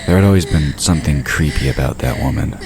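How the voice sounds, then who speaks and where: A man delivers scripted narration for an audio drama. His pitch is exceptionally low. Noisy ambience and effects sit clearly behind the voice. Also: fast.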